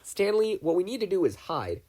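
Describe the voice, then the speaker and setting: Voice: mid-range voice, very animated, a little fast, dark tone, pitched above the performer's own voice. A reader voicing an older man giving urgent commands.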